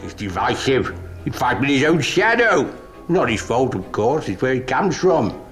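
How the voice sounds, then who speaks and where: An actor playing a grandiose, grumbling elderly British wartime leader in a political drama, sardonic and pompous. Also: male voice, mid-range voice, sing-song, normal speed, fairly bright tone, soft background music. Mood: scornful, combative. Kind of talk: political stage-drama dialogue.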